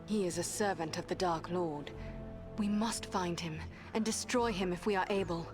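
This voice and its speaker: A woman's voice: lowish voice, moderate pace, natural voice. Woman as a poised elf adventurer over music. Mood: resolute. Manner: formal, poised. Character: composed, courteous, determined female elf adventurer. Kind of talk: fantasy game cutscene acting.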